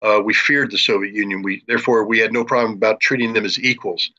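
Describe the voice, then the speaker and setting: An older or middle-aged American man discussing geopolitics in a deliberate, explanatory way, as an interviewer with military experience. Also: on the low side, fairly even intonation, bright, forceful tone, lo-fi sound.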